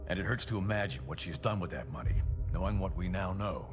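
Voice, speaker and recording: A man playing an insistent, frustrated colonel in a game cutscene, with gruff military formality. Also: deep voice, lively intonation, fast talker, music in the background. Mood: urgent, restrained. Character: insistent, respectful but frustrated male colonel. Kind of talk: cinematic video game dramatic acting.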